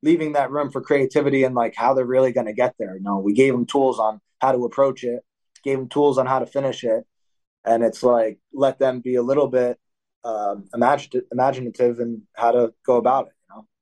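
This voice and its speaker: A man's voice: mid-range voice, fairly quick, rough recording. Rambling young man coaching talk. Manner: rambling, self-correcting, animated when acting out drills. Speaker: wrestling coach and athlete, guest. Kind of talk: casual interview talk.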